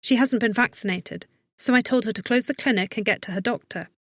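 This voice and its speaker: A woman's voice: fairly high pitch, a little fast, no echo. A woman voicing a worried but capable woman vet in an audiobook, giving firm, urgent, reassuring instructions. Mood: brisk, urgent. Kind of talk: audiobook character acting.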